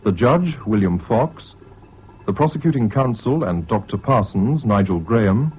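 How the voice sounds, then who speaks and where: Husky British announcer, very lo-fi. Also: male voice, fairly low pitch, animated, a little mellow. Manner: measured, formal. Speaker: radio announcer. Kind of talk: formal reading of closing cast credits. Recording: muffled lo-fi old radio recording.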